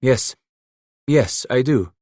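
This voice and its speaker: A weary, cautious old Frenchman, as a male narrator puts him on, speaking formally and hesitantly. The delivery is slow and tired, recorded close and dry. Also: medium pitch, lively intonation, a little mellow, dry recording, pitched above the performer's own voice.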